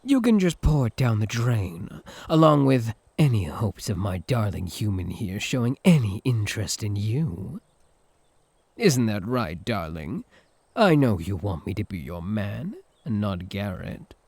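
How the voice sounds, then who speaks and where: British man as teasing yandere incubus: unhurried, sly, sarcastic, swooping delivery, close dry sound. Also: lowish voice, vocal fry, darkish tone, slightly rough, no echo, exaggerated voice. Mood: coaxing, possessive.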